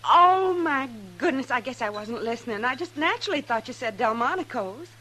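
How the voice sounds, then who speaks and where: A woman's voice: high-pitched, animated, a little fast, pushed and bright, full character voice. A woman acting a sweet, anxious wife in an old-time radio Western. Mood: flustered. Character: sweet, anxious Southern wife of a jealous gambler. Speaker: actress in a Western radio drama. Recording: noisy vintage radio recording.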